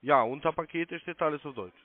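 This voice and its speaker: A man with a slightly high voice gives phone sales instructions as a call centre agent. He is insistent and repeats himself. The sound is a narrow, muffled phone line, dry and free of room sound. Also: a little fast, dry recording. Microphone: telephone call.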